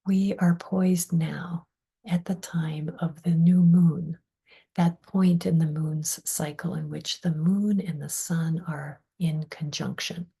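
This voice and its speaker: A woman leading a guided meditation in a soft, breathy, husky voice, with soothing delivery and deliberate pauses between phrases. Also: sits low, steady tone.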